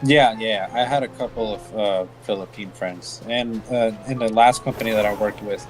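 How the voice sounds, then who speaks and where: A man's voice: on the low side, fairly even intonation, moderate pace, soft background music, poor audio. A man chatting casually as co-host, laid-back and joking, with many "uh"s and "yeah"s. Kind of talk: casual chat.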